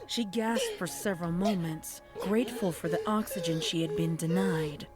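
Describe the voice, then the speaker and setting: A woman's voice: lowish voice, animated, moderate pace, over loud music. A woman reading a scripted horror story as a dramatic narrator.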